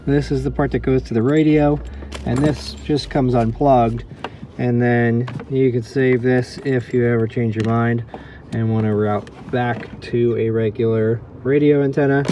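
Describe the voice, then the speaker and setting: A man's voice: mid-range voice, fairly even intonation, measured pace, dark tone, a little hiss or hum. A man giving a casual hands-on tutorial, thinking aloud informally as he works as a DIY repair host.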